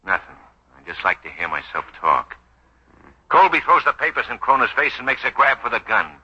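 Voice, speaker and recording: A needling, suspicious police detective in old-time radio. The voice is husky and very forceful, swinging widely as he piles on blunt sarcasm. It has the dullness of a 1940s broadcast recording. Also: medium pitch, very animated, a little fast, dull audio, exaggerated voice. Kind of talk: 1940s radio drama acting.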